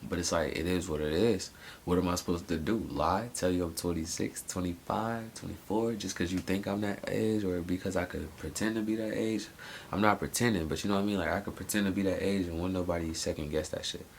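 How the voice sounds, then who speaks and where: Late-20s guy vlogging, super low gravelly voice, rambling slangy talk w/ lots of restarts, faint hiss behind. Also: brisk. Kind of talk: casual personal monologue.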